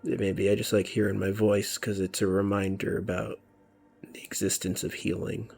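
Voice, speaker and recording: A man giving casual, rambling commentary over a video game, musing about himself and often dropping to a soft near-whisper. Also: on the low side, little pitch movement, moderate pace, gravelly, slightly rough, soft background music. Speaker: let's play commentator.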